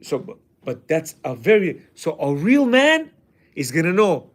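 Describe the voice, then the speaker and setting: A middle-aged man with a loud voice giving a lively, jokey class to a group of men, acting out what people said. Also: on the high side, a little slow. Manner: animated, jokey, acts out quotes. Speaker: class teacher. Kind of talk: lively lecture to a men's class.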